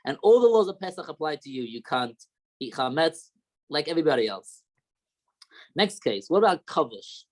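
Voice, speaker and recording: A man's voice: fairly high pitch, very animated, moderate pace. A young man with a light Australian accent, a rabbi teaching an informal class and explaining step by step with questions.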